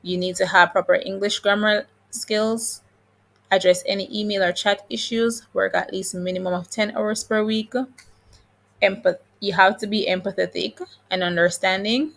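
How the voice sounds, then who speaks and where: A woman's voice: medium pitch, fairly even intonation, moderate pace, creaky, fairly bright tone. A woman with a Jamaican accent hosting an informal explainer, talking listeners through details in an instructive, encouraging way. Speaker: video host giving job-hunting advice. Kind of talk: informal explainer, reading listings and commenting.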